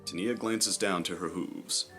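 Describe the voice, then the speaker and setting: A man's voice: low voice, fairly even intonation, a little slow, a bit bright, soft background music. A man reading scripted, dramatic third-person story narration for a fantasy audio drama.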